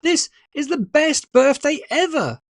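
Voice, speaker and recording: Narrator putting on an excitable, high-pitched ten-year-old boy. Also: a little slow, no room sound, studio quality, heavily acted. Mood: excited, cheeky.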